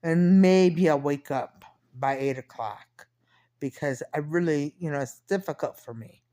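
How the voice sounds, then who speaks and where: A woman's voice: low-pitched, unhurried, dark tone. A woman in her fifties chatting casually in a rambling personal diary style, often repeating and correcting herself. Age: 50s. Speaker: personal vlogger.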